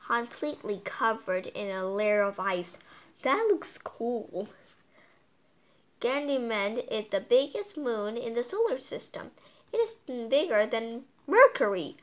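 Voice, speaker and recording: A woman with a fairly high voice reads a picture book aloud at a very slow pace. She stumbles a little over words and breaks off into playful, exclaiming asides. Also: a bit bright. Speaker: storytime host reading aloud.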